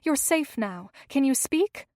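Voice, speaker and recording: A kind, steady young woman, as a female narrator reads her, soft and reassuring in tone. Her voice is fairly high and close, with no room around it. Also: slow, dry recording. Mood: gentle. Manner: gentle, reassuring. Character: young woman, compassionate and resolute caretaker.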